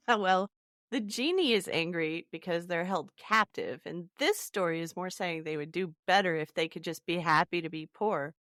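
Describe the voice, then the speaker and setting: A woman's voice: on the low side, moderate pace, fairly bright tone, dry recording, clean studio sound. A woman hosting a podcast, chatting casually about a story and steering the discussion.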